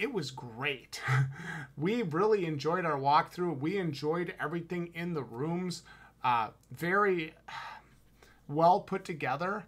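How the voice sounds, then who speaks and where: Upbeat wisconsin guy on a headset, kinda high bright voice, hyped casual review chat. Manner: enthusiastic, emphatic, slangy. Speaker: podcast co-host reviewing haunted houses. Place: home room with shelves, indoors. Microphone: headset with boom mic on video call.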